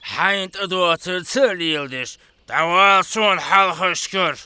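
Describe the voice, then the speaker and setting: A man voicing a slow, sharp-voiced male cartoon character. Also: high-pitched, clean tone, dry recording, heavily acted. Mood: deliberate. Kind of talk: animated cartoon dubbing.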